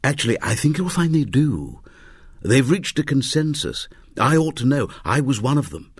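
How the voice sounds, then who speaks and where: A man voicing a mild older scientist in a story, raspy and measured. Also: medium pitch, sing-song, dry recording, studio quality, pitched above the performer's own voice. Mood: gently corrective. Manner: measured, faintly obscure.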